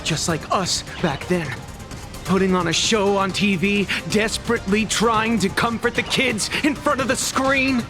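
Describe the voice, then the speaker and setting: A man's voice: high voice, over music. An actor playing a bitter, defiant young male squad leader in a video game, with theatrical, emphatic delivery. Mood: bitter, accusing. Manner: slow, emphatic, declamatory delivery. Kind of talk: dramatic video game voice acting. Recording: dramatic game score behind the voice.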